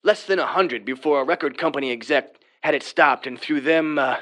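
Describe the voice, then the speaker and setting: A man's voice: on the high side, brisk, fairly bright tone, close to an everyday voice. An actor playing a wary male friend in a horror audio drama, talking in a conversational, teasing way. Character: wary male friend telling a spooky legend.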